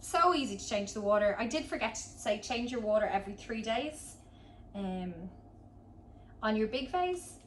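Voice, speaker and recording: A woman's voice: a little high, animated, moderate pace. A woman giving a chatty, informal hands-on tutorial as a florist, talking casually as she demonstrates. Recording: roomy echo, distant mic, background noise.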